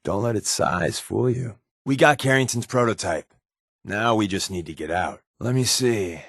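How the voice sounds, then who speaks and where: A secretive spy companion from a video game, glib and irreverent. His voice sits a little low and is recorded close and dry. He talks in a sardonic, offhand way, like someone used to working undercover. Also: expressive, moderate pace, a bit bright, breathy edge, dry recording, heavily acted. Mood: matter-of-fact. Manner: sardonic, offhand, conversational delivery.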